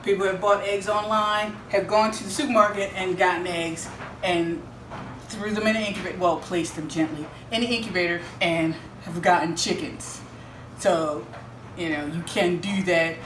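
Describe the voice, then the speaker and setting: Laughy middle-aged woman rambling in her kitchen, lowish voice, echoey, noisy. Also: steady tone, moderate pace, a bit bright. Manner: playful, rambling, laughs often. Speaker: homesteading vlogger. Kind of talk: casual chat to camera. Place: home kitchen indoors. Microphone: camera a few feet away. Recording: echoey kitchen with clear noise.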